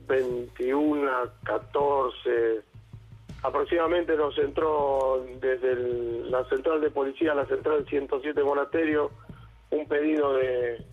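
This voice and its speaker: A man with an Argentine accent, an emergency service director speaking over the phone in a halting way, with many fillers and restarts. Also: mid-range voice. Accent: Argentine Spanish. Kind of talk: phone interview. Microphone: telephone. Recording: tinny phone line.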